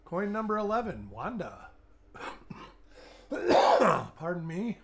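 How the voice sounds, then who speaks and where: Man, middle-aged, weak fading voice, slightly high pitch, chatty live-stream host, greets viewers by name. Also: big pitch swings, takes it slowly, creaky, a little hiss or hum. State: weak, fading voice after illness. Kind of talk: live-stream coin hunting chat.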